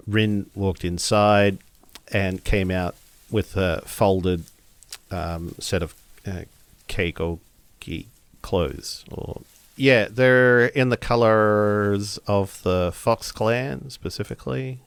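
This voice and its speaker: A man improvising his character's actions in a tabletop game, halting as he searches for words. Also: on the low side, smooth, no echo. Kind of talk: improvised roleplay narration.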